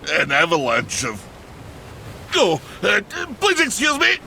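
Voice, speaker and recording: A man's voice: fairly high pitch, wildly swooping pitch, slow, creaky, full character voice. A man playing a big, polite, good-natured warrior in a video game, with a hesitant, bashful manner. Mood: flustered, queasy. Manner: hesitant, flustered, refers to himself in third person. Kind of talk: comedic dramatic video game acting. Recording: loud ambient noise behind.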